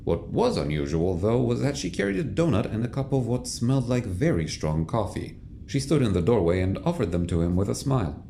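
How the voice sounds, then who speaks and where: A man's voice: on the low side, very animated, brisk, creaky, mellow, a little hiss or hum. A man reading scripted third-person narration for an audio drama, as a storyteller. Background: music.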